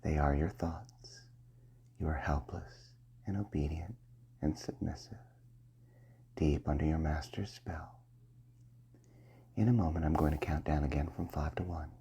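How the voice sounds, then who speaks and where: Low, gravelly, breathy-voiced male hypnotist. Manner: slow, soft, commanding, often half-whispered. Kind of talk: ASMR hypnosis roleplay.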